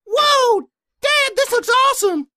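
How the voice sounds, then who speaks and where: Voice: very high voice, lively intonation, unhurried, pushed and bright, slight background noise, heavily acted. A performer voicing a childlike, earnest young boy in a parody retro radio ad. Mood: amazed, eager. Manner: childlike, gee-whiz earnestness. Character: bored, wide-eyed young boy talking to Dad.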